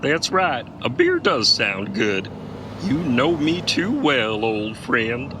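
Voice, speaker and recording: A man's voice: mid-range voice, big pitch swings, takes it slowly. A man playing a cocky, dim, macho American trucker and amateur detective in a comic audio drama, folksy and self-assured. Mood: world-weary, folksy. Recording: noisy vehicle and road ambience.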